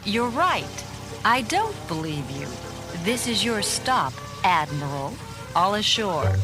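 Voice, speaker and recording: A woman playing a feisty, wary, blunt whale scientist with a soft heart in a children's read-along storybook recording. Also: medium pitch, sing-song, takes it slowly. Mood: dismissive. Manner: blunt, plain-spoken. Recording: voice over loud background music.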